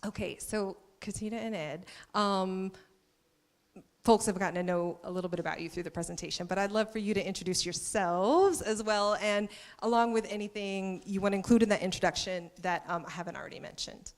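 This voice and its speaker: A woman author giving a measured academic talk on a stage, then hosting a panel. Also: medium pitch, steady tone. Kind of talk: public lecture then moderating a panel. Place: event stage with live audience. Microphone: handheld stage microphone.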